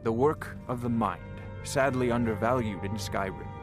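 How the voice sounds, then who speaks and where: A man's voice: mid-range voice, normal speed, close to an everyday voice. Man playing a pompous scholarly court wizard. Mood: condescending. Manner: pompous, pedantic. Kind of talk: video game voice acting. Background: music.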